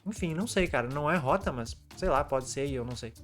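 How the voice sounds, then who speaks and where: A man's voice: on the high side, animated, a bit bright, faint music behind. A man, chatting casually as a video host while walking through maps, tossing in constant "né" and "cara". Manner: chatty, fast, peppered with "né" and "cara". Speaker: YouTube host. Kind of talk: casual commentary over maps.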